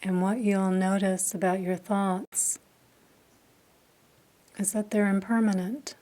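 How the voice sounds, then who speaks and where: A woman leading a guided meditation, speaking softly and calmly with long pauses between phrases. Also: medium pitch. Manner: slow, soft, calm with long pauses. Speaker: meditation teacher.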